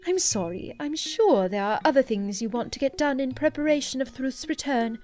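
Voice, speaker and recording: A graceful, mature goddess speaks with poised, lofty calm and a gently teasing edge, as a woman voices her. Her fairly high voice swoops in lively curves. Faint music lingers beneath. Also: moderate pace. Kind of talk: fan audio drama acting.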